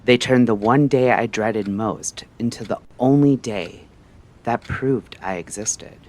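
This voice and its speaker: A man narrating a scripted story like a late-night radio host, in a measured, theatrical way with short phrases and pauses. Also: medium pitch, a little mellow.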